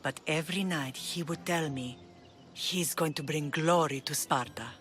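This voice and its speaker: A woman's voice: deep voice, measured pace, a bit bright. An actress playing a middle-aged Spartan mother in a video game, dignified and resolute with a tender side. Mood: tender, wistful. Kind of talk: dramatic video game cutscene acting.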